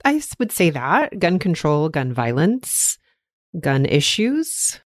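A woman's voice: low-pitched, big pitch swings, takes it slowly, dry recording, clean studio sound. A woman from Texas co-hosting a podcast, moving between introductions and casual conversation. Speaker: podcast co-host.

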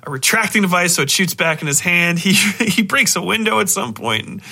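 Bright, high-voiced man podcasting casually. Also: fairly quick. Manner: animated, swears freely, excitable. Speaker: podcast host. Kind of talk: casual podcast review, rambling and profane.

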